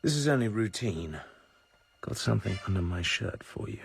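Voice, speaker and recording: An actor with a British accent playing a cool, determined secret agent in a radio drama. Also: male voice, on the low side, lively intonation, moderate pace, vocal fry, breathy edge, heavily acted. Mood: businesslike. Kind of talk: radio drama acting with narrated thoughts. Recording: radio play with loud music underneath.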